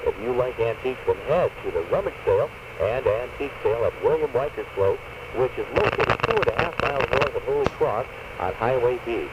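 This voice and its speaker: Male local radio announcer, lively swooping delivery, dull and heavily noisy vintage AM taping. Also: medium pitch, a little fast, far from the mic.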